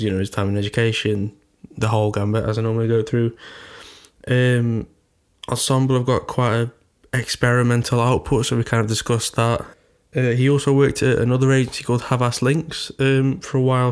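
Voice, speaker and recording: A man with a stuffy cold, introducing his podcast episode in a loose, chatty way. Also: mid-range voice. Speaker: podcast host.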